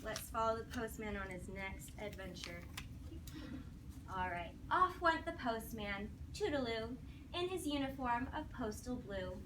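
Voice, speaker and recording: Lady teacher doing storytime w/ little kids, asks them stuff, encouraging, bit high voice, mic kinda far off. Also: lively intonation, a little slow. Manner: encouraging, questioning, addresses children directly. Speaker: teacher reading aloud to young children. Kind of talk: interactive classroom storybook read-aloud. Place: classroom with children. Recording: noisy classroom, distant microphone.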